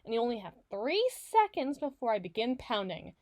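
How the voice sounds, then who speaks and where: A brash villain woman voiced by an actress in an ASMR roleplay, with a fairly high voice that swings widely in pitch. She blusters, swears and needles her partner with sarcasm, stubborn and hot-tempered but gruffly soft underneath. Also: big pitch swings, brisk. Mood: defiant, agitated.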